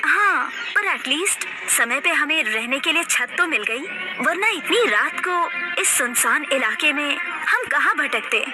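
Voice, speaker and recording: A woman voicing an anxious, caring young mother in a horror cartoon, with an expressive delivery. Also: sits high, unhurried, music in the background. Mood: uneasy. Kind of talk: Hindi cartoon voice acting.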